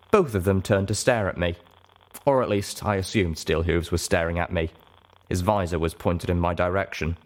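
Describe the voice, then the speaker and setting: A man reading fiction aloud as a dramatic first-person narrator, measured and expressive. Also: deep voice, background noise. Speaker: audiobook narrator.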